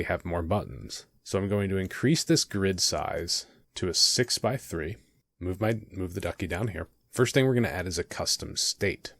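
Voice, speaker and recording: Male tutorial host, low register, conversational step-by-step delivery, very dry recording. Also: no echo. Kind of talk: conversational software tutorial narration.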